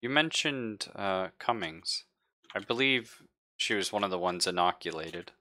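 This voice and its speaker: A man running a tabletop roleplaying game, speaking in character with a measured, halting delivery. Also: sits low, expressive, pushed and bright. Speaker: game master.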